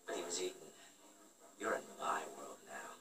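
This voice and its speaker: A man's voice: a bass voice, normal speed. A middle-aged man playing a stern, sardonic school principal in a TV series, clipped, condescending and menacing. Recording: TV show playback, somewhat distant.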